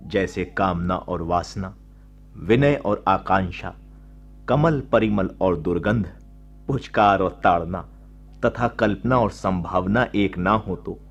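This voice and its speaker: Solemn, declamatory monk teacher. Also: male voice, low-pitched, creaky, slightly rough, heavily acted. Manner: declamatory, preaching, measured moral authority. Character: solemn, fearless male Jain monk and teacher, righteous. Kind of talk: Hindi radio drama acting, formal oratory. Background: faint music.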